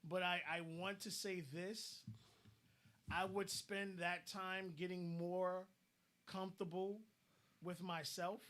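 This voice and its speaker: Blunt, high-voiced man giving advice. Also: little pitch movement, takes it slowly, a bit bright. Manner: blunt, advice-giving, repeats words as he starts a thought. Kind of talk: casual online discussion.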